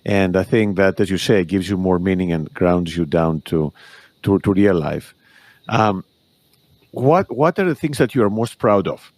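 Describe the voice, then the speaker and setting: A man's voice: low voice, animated, normal speed, dry recording. A man hosting a podcast, agreeing with his guest and asking follow-up questions. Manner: agreeable, supportive interviewer. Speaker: podcast host. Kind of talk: casual interview questions.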